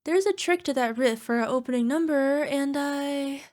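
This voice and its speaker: A woman's voice: sits high, normal speed, a little mellow, lightly acted. A woman playing a small, sheepish cyclops monster girlfriend in an ASMR roleplay, wheedling and making cutesy, defensive excuses. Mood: evasive, sheepish. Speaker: voice actor.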